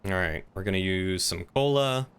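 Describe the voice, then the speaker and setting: Male streamer, deeper register, clear tone. Casual, self-directed game chatter. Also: animated, moderate pace, a bit bright.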